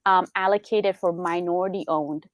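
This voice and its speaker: A woman's voice: lowish voice, steady tone, moderate pace, dry recording. A young adult woman from Iran, a business attorney, explaining in casual interview chat with lots of rhetorical questions.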